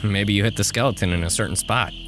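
A man's voice: lowish voice, fast, over music, close to an everyday voice. A man playing a casual, inquisitive teenage boy hero in an audio drama. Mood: casual, curious. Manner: casual, questioning.

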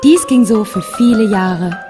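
A woman's voice: mid-range voice, measured pace, music in the background. A woman storyteller reading a scripted fairy tale for children as narration.

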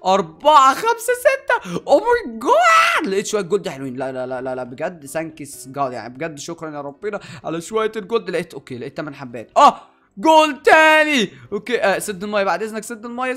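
A young man with an Egyptian Arabic accent hosting a gaming video in an animated way, addressing viewers and repeating phrases. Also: high-pitched, fast. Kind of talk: excited gameplay commentary.

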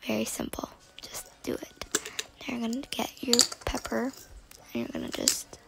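A young woman narrating her home cooking video in a hushed, breathy voice, with deadpan self-mocking jokes. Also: a little high, normal speed, rough recording. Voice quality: hushed and breathy, near-whispered. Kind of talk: self-deprecating voice-over narration. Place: home kitchen.

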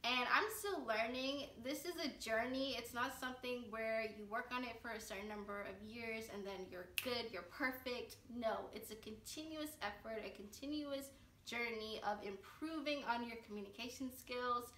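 Brief: twenties woman vlogger, earnest and upbeat, slightly high voice, roomy and off-mic. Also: moderate pace, sharp tone, distant mic, slight background noise. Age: 20s. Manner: upbeat, earnest, gestures with hands while talking. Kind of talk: casual vlog talk to camera. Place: living room, sitting on a couch.

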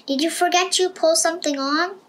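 A woman's voice: very high-pitched, steady tone, a little fast, a bit bright, voice pushed up. A woman voicing a storybook mother frog who calls out to remind her forgetful son. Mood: calling out.

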